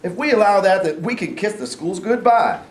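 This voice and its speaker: A man acts out an agitated state official in a stage drama. His voice is high and very animated, jumping with alarm and emphasis. The room is clearly echoey. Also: normal speed. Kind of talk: staged play reading. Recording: roomy, echoey live stage recording.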